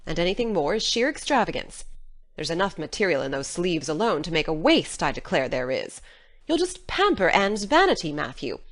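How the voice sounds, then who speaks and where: A woman playing a stern, practical older countrywoman in a dramatized audiobook, with a stiff, scolding manner. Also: lowish voice.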